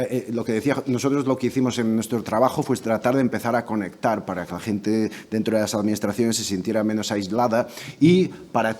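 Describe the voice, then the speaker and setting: A man giving a debate contribution at a measured, middle pitch. He talks thoughtfully, often stopping and restarting as he hunts for the right word. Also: a little mellow. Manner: thoughtful, many restarts and hesitations. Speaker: debate panelist.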